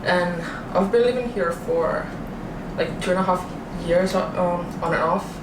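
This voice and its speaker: A man with an Italian accent talks in a casual way as a panel guest. He is some distance from the microphone, and the sound is echoey with clear background noise. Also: sits high, a little slow. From Italy. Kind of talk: casual self-introduction.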